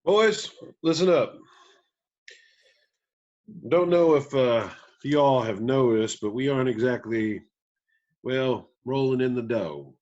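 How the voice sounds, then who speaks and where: A man's voice: medium pitch, slow, pauses often, darkish tone, natural voice. Man acting folksy country dad, dull lo-fi sound. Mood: firm, rallying. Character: gentle, weary country musician father, plainspoken and folksy. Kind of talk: screenplay table read acting.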